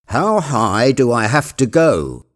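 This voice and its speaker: A man's voice: mid-range voice, very animated, takes it slowly. A man voicing a reluctant, wary male servant, hesitant and protesting, in a storybook tale.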